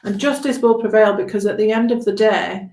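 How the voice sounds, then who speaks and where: A woman giving a spontaneous live tarot reading in a halting, reassuring, conversational way, with a slightly hoarse voice. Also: mid-range voice, fairly even intonation, a little mellow. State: hoarse after long talking. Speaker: tarot reader hosting a live stream. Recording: roomy, echoing room sound.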